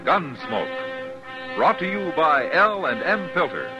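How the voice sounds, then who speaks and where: A man's voice: a little high, very animated, slow pace. An American man announcing a 1950s radio drama in an emphatic, dramatic style. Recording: old radio broadcast recording. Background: music.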